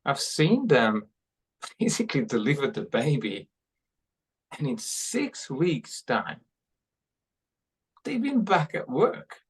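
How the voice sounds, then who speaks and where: A man from Hungary hosts this conversation comes through clearly. He talks at an unhurried, deliberate pace in a middle pitch, restarting phrases and repeating words as he works his way toward each question. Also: wildly swooping pitch. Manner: halting, repeats words while forming questions. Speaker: podcast host. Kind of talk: interview questions.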